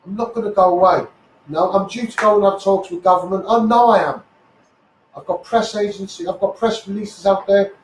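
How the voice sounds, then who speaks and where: High-pitched, combative Scouse man ranting. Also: fairly even intonation, brisk. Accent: Scouse (Liverpool). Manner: combative, blunt, sweary, repeats phrases for emphasis. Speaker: campaigner, live streamer. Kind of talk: impassioned live rant to social media. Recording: echoey, noisy livestream, distant mic.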